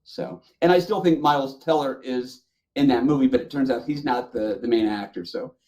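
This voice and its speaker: A man's voice: mid-range voice, normal speed, mellow, lo-fi sound. A man chatting casually as a podcast co-host, opinionated and effusive in the way he talks. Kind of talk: casual panel chat.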